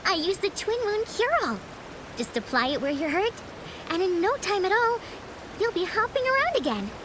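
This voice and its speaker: A woman voicing an excitable, proud young female inventor of beauty products, gushing theatrically in a video game cutscene. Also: very high voice, moderate pace, noisy background. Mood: reassuring.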